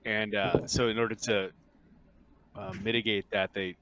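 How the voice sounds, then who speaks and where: A man with a slightly low voice explains tabletop game rules. He is casual and halting, feeling his way through each point, over faint noise. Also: level tone.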